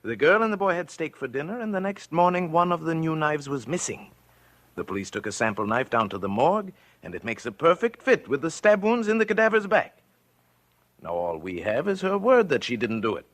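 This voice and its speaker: Showy defense attorney, theatrical and sly, high voice with big swoops, 1940s radio. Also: male voice, very animated, fairly quick, fairly bright tone. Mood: wry, dramatic. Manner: theatrical, mocking, strutting. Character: showy, sly defense lawyer who toys with witnesses.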